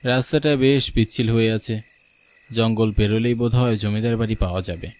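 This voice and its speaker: A man's voice: fairly low pitch, steady tone, brisk, a little mellow. A man reading a scripted first-person story as its narrator. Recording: clearly noisy, rain and thunder behind. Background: rain and thunder sound effects.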